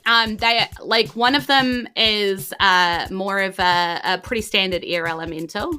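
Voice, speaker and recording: A woman's voice: medium pitch, a little slow, pushed and bright, clear, resonant tone, faint music behind. A woman with a New Zealand accent improvising narration as a tabletop game master, informal and often groping for words.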